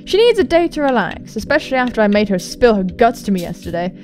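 A woman's voice: fairly high pitch, very animated, quick pace, darkish tone. A young woman hosting a gaming video, reading a visual novel aloud with sweary, jokey asides. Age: young adult. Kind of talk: let's play commentary and visual novel read-aloud. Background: music.

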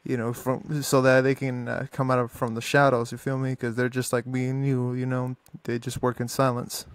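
A young man from Arizona hosting an interview, speaking casually and haltingly with many fillers. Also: medium pitch, monotone, no room sound. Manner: halting, lots of fillers and restarts. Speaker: podcast host, interviewer. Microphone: desk mic in front, earbuds.

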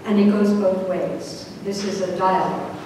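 A woman in her sixties speaking as a panelist at a community event, measured and reflective. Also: mid-range voice, level tone, darkish tone. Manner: measured, reflective, a few restarts. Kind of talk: panel talk. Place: community meeting hall, indoors. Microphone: table mic on stand. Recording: echoey hall from far off, noisy.

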